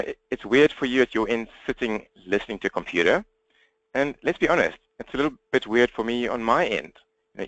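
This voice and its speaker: A man from South Africa with a South African accent and a slightly croaky throat, presenting an interactive webinar training while standing at his computer, fast, direct and upbeat. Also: mid-range voice, a bit bright, no room sound, low-quality recording.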